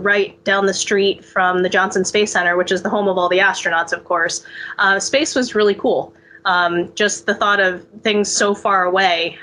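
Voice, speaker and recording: Bright-voiced woman engineer, relaxed interview. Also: medium pitch. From United States. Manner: relaxed, with frequent ums.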